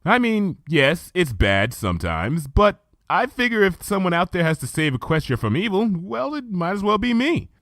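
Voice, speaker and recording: A man's voice: high voice, big pitch swings, moderate pace, few pauses, fairly bright tone, no room sound, clean studio sound, pitched above the performer's own voice, exaggerated voice. A man voicing an earnest, bookish young female unicorn scholar in a fan fiction reading, talking eagerly and chattily.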